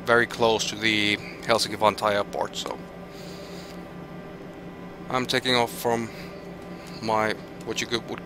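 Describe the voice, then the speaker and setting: A man's voice: lowish voice, level tone, unhurried, pauses often, over music. A man with a Finnish accent streaming a flight simulator, thinking aloud and reading out weather and route figures with hesitant restarts. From Finland. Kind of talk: live gameplay commentary, thinking aloud.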